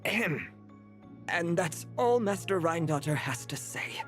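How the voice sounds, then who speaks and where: An actress voicing a prim, haughty talking cat butler in a video game, pedantic and formal. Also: female voice, fairly low pitch, lively intonation, unhurried, faint music behind. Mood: haughty, dry.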